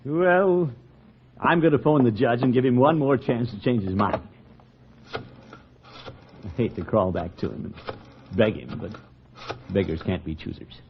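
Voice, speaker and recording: An actor playing a pompous, scheming middle-aged uncle in 1940s radio comedy style, blustery and theatrical with sly chuckles. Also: male voice, mid-range voice, a little slow, long pauses, a little hiss or hum, close to an everyday voice. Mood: pleading. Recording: muffled old-time radio recording.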